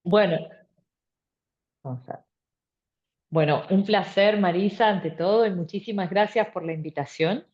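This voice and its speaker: A woman's voice: mid-range voice, measured pace, long pauses, darkish tone, lo-fi sound. A middle-aged woman from Argentina, explaining her work articulately in an interview and repeating phrases for emphasis. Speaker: interviewed bioethicist and law professor.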